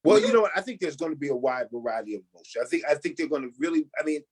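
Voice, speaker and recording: A man's voice: fairly high pitch, lively intonation, very fast, a little mellow, husky. A man giving expansive, emphatic answers as an interview guest in a conversational style. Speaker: stage actor, interview guest.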